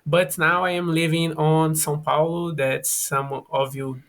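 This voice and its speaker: A man's voice: on the high side, level tone, unhurried, a bit bright. A man in his twenties with a Brazilian Portuguese accent, a guest on a podcast, who talks hesitantly and searches for English words with many fillers. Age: 20s. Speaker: guest, education student. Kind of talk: casual podcast interview.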